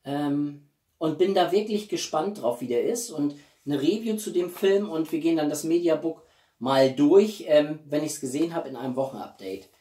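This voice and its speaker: A man's voice: fairly high pitch, animated, moderate pace, dark tone. Roomy, distant-miked man chatting. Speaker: YouTube host. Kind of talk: casual chat to camera. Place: small living room at home, indoors. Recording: roomy echo, distant microphone.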